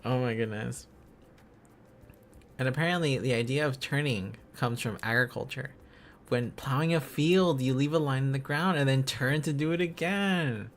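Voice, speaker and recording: A man's voice: mid-range voice, normal speed, slight background noise. A man hosting a livestream, chatting casually in an enthusiastic, rambling, giggly manner. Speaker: livestream host. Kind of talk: casual livestream chat while learning Korean script.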